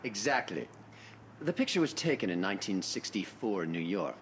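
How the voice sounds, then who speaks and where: An actor portrays a courteous middle-aged man on a quest in a radio play. His delivery is formal and earnest, with lively swings of pitch. A faint wash of ambient noise sits behind him. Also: moderate pace. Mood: earnest, insistent. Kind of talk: radio drama dialogue.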